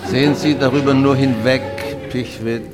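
A man's voice: medium pitch, fairly even intonation, moderate pace, lightly acted. An earnest, restrained pastor played by a male actor in a radio drama. His voice sits in a middle register, and music plays beneath it. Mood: mildly skeptical. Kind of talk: German radio drama acting.

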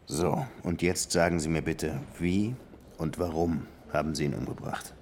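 An actor playing a sardonic, impatient police interrogator who probes sarcastically in a crime radio drama. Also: male voice, rumbling low, moderate pace, a little mellow, a little hiss or hum. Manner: sarcastic, probing.

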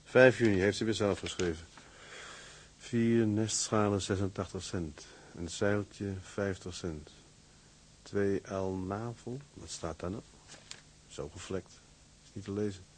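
A man's voice: fairly low pitch, slow, pauses often, husky. A man playing a blunt, hot-tempered tugboat mate in a naturalistic period radio drama. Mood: relaxed.

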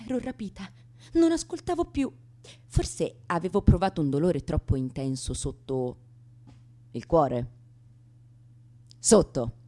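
A woman's voice: low voice, expressive, measured pace, long pauses, warm tone. An actress playing a wounded, brazen young woman confessing her troubled past in a theatrical, emotional radio monologue full of sighs, gasps and abrupt swings. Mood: feverish, breathless.

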